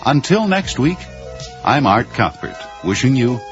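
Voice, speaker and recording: A man hosting a radio drama series, reading credits and announcements in a measured, articulate, formal broadcast style. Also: mid-range voice, big pitch swings, over music. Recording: slightly dull 1980s radio recording.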